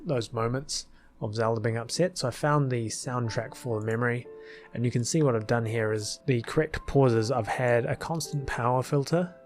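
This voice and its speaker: A man's voice: medium pitch, darkish tone. A man giving casual, rambling commentary as a video creator, thinking aloud in an informal, hesitant way. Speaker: video creator explaining his fan film edit.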